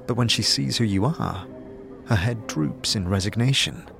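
A man's voice: lowish voice, moderate pace, creaky, fairly bright tone. A man narrating a scripted fantasy audio drama in the second person, in a descriptive storyteller's manner. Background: music.

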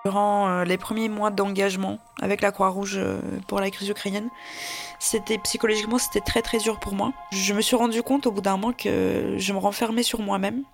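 A woman in her late twenties from Russia, telling her story as a volunteer interpreter, hesitant with many pauses and fillers. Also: medium pitch, level tone, creaky, soft background music. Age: 20s. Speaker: Red Cross volunteer interpreter. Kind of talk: personal testimony.

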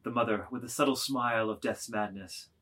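A man's voice: fairly low pitch, fairly quick, a bit bright. A man reading scripted literary narration expressively, with rhythmic, emphatic delivery of lists of words.

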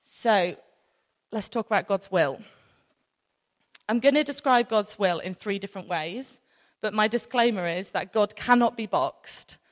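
A woman's voice: mid-range voice, lively intonation, measured pace. A woman in her thirties with a British accent gives an informal sermon to a congregation in a conversational, anecdotal way, using rhetorical questions. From near North Wales, UK. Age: 30s. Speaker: church speaker. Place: large room, live talk to an audience. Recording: echoey hall with long reverb.

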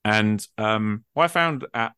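Very low-voiced man talking through ideas. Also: takes it slowly, bright, forceful tone, clear, resonant tone, no echo, studio quality. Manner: reflective, explanatory, often checks in with rhetorical questions. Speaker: game master and host. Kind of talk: unscripted gaming discussion.

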